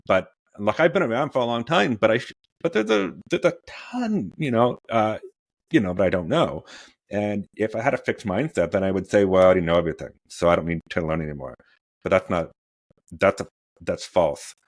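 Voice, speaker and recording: A man's voice: lowish voice, expressive, brisk, dry recording, clean studio sound. A man in his forties hosting a podcast interview, rambling with many fillers and restarts. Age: 40s. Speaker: podcast host. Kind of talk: interview conversation.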